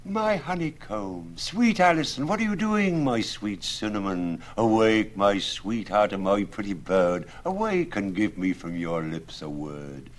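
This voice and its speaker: A man's voice: mid-range voice, big pitch swings, measured pace, hardly any pauses, voice pushed up. A man voicing a foppish, lovesick young male parish clerk who pleads and swoons. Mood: pleading. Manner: swooning, wheedling.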